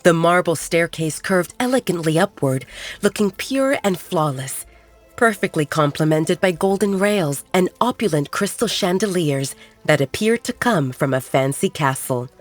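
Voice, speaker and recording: A woman reading a romance story aloud as a calm, even narrator. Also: fairly low pitch, normal speed, soft background music. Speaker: audiobook narrator.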